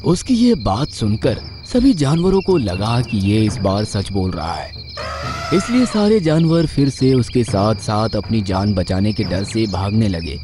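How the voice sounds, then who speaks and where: A man narrating a scripted children's story in an expressive storytelling manner. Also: on the low side, unhurried, noisy background.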